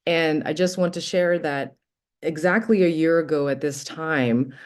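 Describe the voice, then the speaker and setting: A woman's voice: low-pitched, a little mellow. A woman moderating a panel, thanking speakers and introducing guests in an appreciative, slightly hesitant way.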